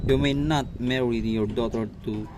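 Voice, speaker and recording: A man playing a stern, commanding magistrate in an amateur radio drama, questioning witnesses in a formal, deliberate way. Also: medium pitch, steady tone, noisy background, lightly acted.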